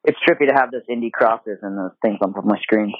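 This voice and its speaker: A man who grew up in Japan talks quickly and loosely in a casual interview, full of likes and you-knows. The sound is dry and squeezed, like a phone or call line, with no room around it. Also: on the low side, no room sound, dull audio. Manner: relaxed, talkative, lots of fillers. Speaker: guest, apparel designer.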